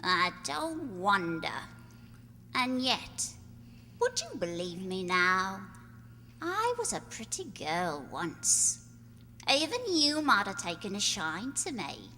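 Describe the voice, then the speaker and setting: A woman's voice: mid-range voice, wildly swooping pitch, slow pace, pushed and bright, exaggerated voice. A woman playing a bitter, vengeful, scarred slum woman in an amateur radio play, coarse and taunting, in a reverberant hall. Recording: reverberant hall, faint noise and hum.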